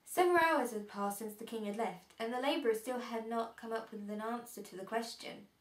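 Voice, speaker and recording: A woman's voice: a little high, brisk, close to an everyday voice. A woman playing a friendly older relative who reads bedtime stories in an amateur drama. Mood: storytelling. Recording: roomy echo, faint background noise.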